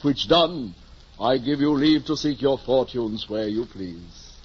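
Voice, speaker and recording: Pompous old-fashioned knight-errant, medium-pitched, archaic speechmaking, radio drama, dulled vintage recording. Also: male voice, slow pace, breathy edge, dull audio. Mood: grandiose, commanding. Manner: lofty, oratorical, archaic formal diction. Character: deluded, pompous ageing gentleman knight-errant. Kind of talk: 1950s radio drama acting.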